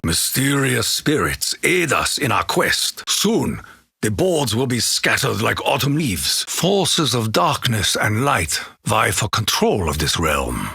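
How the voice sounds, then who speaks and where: An actor voicing a solemn, proud Japanese samurai warrior for a video game, with a raspy voice, a Japanese accent and formal, grave phrasing. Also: male voice, mid-range voice, pushed and bright, no echo, clean studio sound, heavily acted. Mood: solemn, grateful. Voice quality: raspy, gruff. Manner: formal, grave, proverb-like phrasing with dramatic emphasis. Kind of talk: video game character voice lines.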